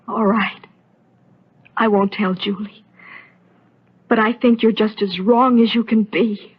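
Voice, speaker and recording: A woman's voice: mid-range voice, steady tone, slow, long pauses, husky. An American woman playing an earnest, outspoken, devoted woman in an old-time radio soap opera, emotional and insistent. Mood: reluctant. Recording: dull, lo-fi vintage radio recording.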